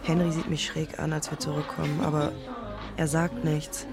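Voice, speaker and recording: A woman's voice: sits low, level tone, normal speed, over loud music. A woman playing a conflicted podcaster who narrates her love story in the first person, intimate and introspective. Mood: introspective, uneasy. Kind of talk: scripted first-person narration, present tense.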